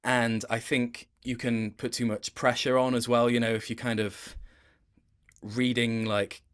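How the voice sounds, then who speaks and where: Halting, thoughtful man in an interview. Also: medium pitch, little pitch movement, a bit bright, smooth. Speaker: interview guest, voice actor. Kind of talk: casual interview chat.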